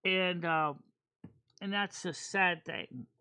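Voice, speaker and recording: A man hosting a casual chat stream about tarot cards, talking in a rambling, halting way with many fillers and restarts. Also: high voice, creaky, sharp tone, clear, resonant tone, no room sound, clean studio sound. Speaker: host.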